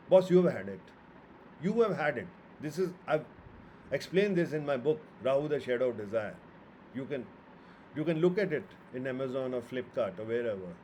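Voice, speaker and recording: A man's voice: mid-range voice, warm tone, slight background noise. A man with an Indian accent giving an informal, opinionated astrology lecture, making emphatic points and posing rhetorical questions. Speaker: astrologer and author.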